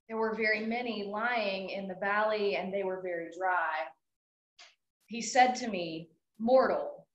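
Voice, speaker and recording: Woman worship leader, mid-pitched, very slow measured reading, echoey room. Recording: echoey hall.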